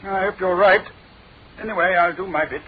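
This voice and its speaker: An actor with a British accent playing a wary, grumbling chief inspector, sceptical and grudging, in a radio drama. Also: male voice, sits high, normal speed, narrow, tinny audio, natural voice.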